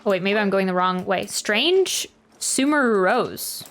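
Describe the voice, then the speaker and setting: A woman's voice: medium pitch, animated, measured pace, a bit bright. A woman streaming a game, chatting casually and rambling as she thinks aloud. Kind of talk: casual live stream commentary. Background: game music.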